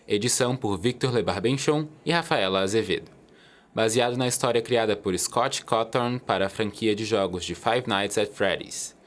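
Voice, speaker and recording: A man's voice: lowish voice, moderate pace. A man reading scripted narration as the storyteller of an audio drama.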